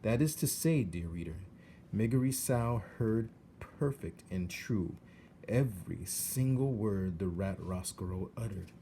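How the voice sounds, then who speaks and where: A man reads a storybook aloud expressively, in a fairly low, mellow voice. His pitch moves in lively swings over faint background noise. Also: unhurried. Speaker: storybook narrator. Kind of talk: expressive read-aloud narration.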